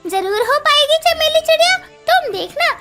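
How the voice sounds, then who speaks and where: Tiny squeaky girl bird shopkeeper, bossy cartoon talk, music behind. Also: sing-song, moderate pace. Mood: cheerful, eager. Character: female cartoon bird shopkeeper or beautician, small and assertive. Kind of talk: children's cartoon dialogue.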